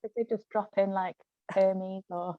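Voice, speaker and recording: A woman's voice: medium pitch, fairly even intonation, a little slow, narrow, tinny audio, over a noisy crowd. A woman, a guest author and actress, explaining terms in casual conversation.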